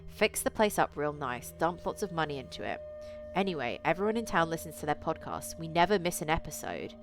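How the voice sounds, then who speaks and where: A woman's voice: deep voice, sing-song, fairly quick. A woman voicing a folksy, gossipy woman townsperson in a visual novel, chatty and plain-spoken. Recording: music behind the voice.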